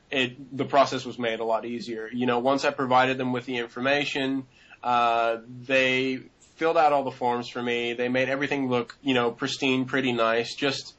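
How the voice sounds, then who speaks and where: A man's voice: mid-range voice, flat delivery, moderate pace, fairly bright tone. Rambling young man, dull lo-fi audio. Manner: rambling, many fillers and restarts. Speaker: interviewee. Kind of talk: casual interview answers.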